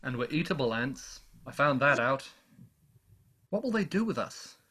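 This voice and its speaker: A man's voice: mid-range voice, big pitch swings, moderate pace, bright, forceful tone. An actor playing a weary, lonely man who survived an invasion, speaking in a solemn, literary manner in a radio play. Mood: anxious, despairing. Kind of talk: dramatic radio play narration and dialogue.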